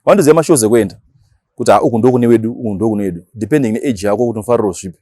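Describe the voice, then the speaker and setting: Very fast-talking Zimbabwean man, slangy and animated. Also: lowish voice, no room sound. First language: Shona. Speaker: interview guest, dancehall DJ. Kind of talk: casual interview talk mixing Shona and English.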